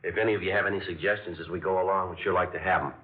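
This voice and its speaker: Man playing a steady, clipped police sergeant for an old radio drama, very low voice, thin distant broadcast sound with music. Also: very fast, reverberant, narrow, tinny audio, a little hiss or hum, lightly acted. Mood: briefing. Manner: clipped, matter-of-fact delivery. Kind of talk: 1950s radio drama acting with narration.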